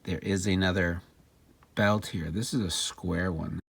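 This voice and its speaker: Male demonstrator, low and a little rough-edged, casual and plain-spoken, talking through hands-on work. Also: steady tone, a little slow, dry recording, clean studio sound. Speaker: repair demonstrator and narrator.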